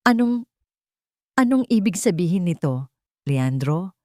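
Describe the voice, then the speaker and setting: A woman's voice: fairly low pitch, big pitch swings, measured pace, a little mellow, no echo, studio quality. A woman voicing a frightened, betrayed young wife who stammers and pleads in a trembling voice in a dramatic story. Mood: confused, distressed. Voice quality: trembling, breaking voice.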